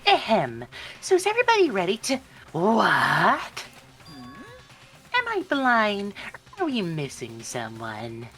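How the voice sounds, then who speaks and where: A mischievous little game mascot teases with sadistic, childish glee and fake politeness. The delivery is theatrical, leaping wildly up and down in pitch, over game music. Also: female voice, wildly swooping pitch, slow pace, pauses often, fairly bright tone, heavily acted. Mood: gleeful, teasing. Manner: teasing, theatrical. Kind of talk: video game voice acting.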